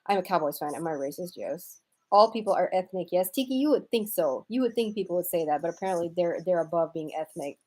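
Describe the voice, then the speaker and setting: Casting: American woman, live-stream host, mellow relaxed voice, casual and wry, loose slangy delivery. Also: lowish voice, quick pace. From United States, raised in Houston, Texas. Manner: wry, irreverent, informal with slang. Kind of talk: casual chat, reading and answering viewer comments.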